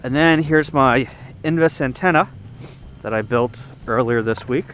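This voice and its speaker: A man with a mid-pitched voice talks through his outdoor setup in a casual, unscripted way. He explains as he goes, thinking aloud, picked up on a handheld camera mic with music behind him. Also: unhurried, fairly bright tone. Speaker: ham radio hobbyist giving a video tour. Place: outdoor campsite.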